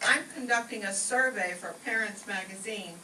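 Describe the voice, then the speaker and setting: A woman's voice: mid-range voice, moderate pace, close to an everyday voice. A woman playing a polite, inquiring lady in old-time radio comedy style. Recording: echoey distant stage, noisy room.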